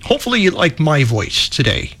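A man hosting a radio show with a raspy voice, chatting casually and rambling offhand between songs. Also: on the high side, sing-song, brisk, a bit bright. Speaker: radio host. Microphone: headphones and studio mic.

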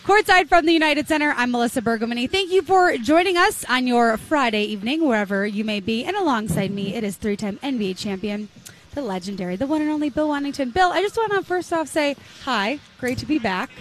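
High-voiced woman, slightly raspy. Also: lively intonation, a little fast, a little hiss or hum. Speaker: courtside sports reporter and host. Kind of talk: postgame broadcast recap. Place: courtside at an arena.

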